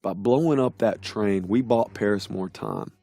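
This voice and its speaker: An actor playing an earnest American soldier giving first-person war game narration, plainspoken and reflective, with an American accent. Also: male voice, on the low side, moderate pace, creaky, warm tone, no room sound. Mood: reflective, determined.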